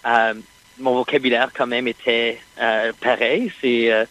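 Casting: male interviewee, Franco-Manitoban accent, monotone, hesitant and unscripted. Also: medium pitch, a little slow, low-quality recording. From rural Manitoba, Canada. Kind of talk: hesitant personal testimony.

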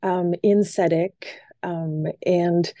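Mellow woman, dry sound. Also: on the low side, fairly even intonation, takes it slowly, dry recording. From Quebec City, Canada. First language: French. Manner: thoughtful, frequent ums, light laughs. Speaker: guest, stage performer. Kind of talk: conversational interview answers.

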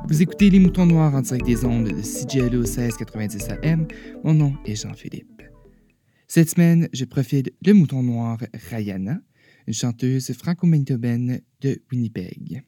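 A man presenting a radio show from a script, with a husky voice. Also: medium pitch, expressive, moderate pace, dark tone, soft background music. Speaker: radio host.

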